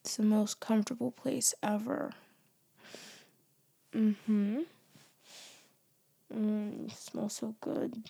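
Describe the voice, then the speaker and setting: A young woman in a soft, often whispered ASMR girlfriend roleplay, drowsy and close to the mic, her voice worn down as she loses it to a cold. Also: medium pitch, little pitch movement, slow, vocal fry, pauses often, breathy edge. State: losing her voice, congested.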